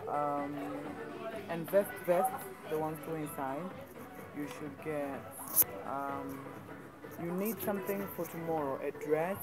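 A mother chatting in a clothing store, pausing with ums while she thinks of what to buy. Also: low-pitched, loud music behind the voice, poor audio. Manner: hesitant, pauses with um while choosing items. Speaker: mother shopping with her daughter. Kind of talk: casual family chat. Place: large clothing store, indoors.

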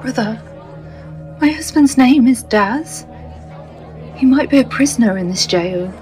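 Woman acting high-voiced, earnest pleading mother. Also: lively intonation, unhurried, darkish tone, faint music behind, some chatter behind. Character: anxious, loving mother longing for imprisoned husband. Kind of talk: audio drama acting.

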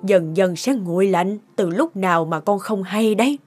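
A woman plays an older mother in an animated cartoon, devoted and shrewd. She talks in a middle register, chatty and gently admonishing. Music plays loudly behind the voice. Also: medium pitch, normal speed, close to an everyday voice. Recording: loud background music over voice.

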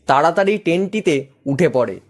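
A man's voice: fairly high pitch, expressive, moderate pace, background noise. A man giving scripted narration of a horror story as its storyteller.

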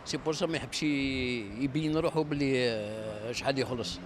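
A man's voice: mid-range voice, fairly quick. A man in his sixties with an Algerian accent, answering casually in a street interview. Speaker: passer-by being interviewed. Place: outdoors on a busy city street. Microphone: handheld microphone. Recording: faint street noise.

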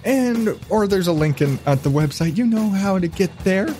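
A man's voice: high voice, expressive, normal speed, warm tone, faint music behind. A man hosting a podcast in casual, profane chat, rambling along with irreverent, self-mocking asides. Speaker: podcast host.

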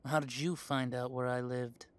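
A man's voice: mid-range voice, level tone, normal speed, clear, resonant tone, lightly acted. A man playing a young werewolf in a conversational audio roleplay, talking in a casual, earnest way. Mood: guarded, annoyed. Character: easygoing young male werewolf, defensive with parents.

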